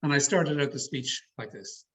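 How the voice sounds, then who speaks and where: A man leading an online public speaking workshop in a deliberate, emphatic, varied delivery, as a trainer addressing his learners. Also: mid-range voice, a bit bright, husky. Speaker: speech trainer giving an educational session. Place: online video call. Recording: slightly muffled, lo-fi video call audio.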